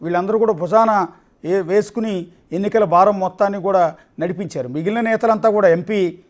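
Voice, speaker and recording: High-pitched male news anchor. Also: fairly quick. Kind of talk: live election news presenting and commentary.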